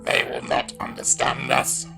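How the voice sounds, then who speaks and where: A man voicing a solemn, imperious alien in a fan audio drama, speaking slowly and formally in a booming, gravelly voice. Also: music in the background, close to an everyday voice. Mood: cold, measured. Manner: slow, terse, formal. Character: tall solemn blue-faced alien, slow and imperious.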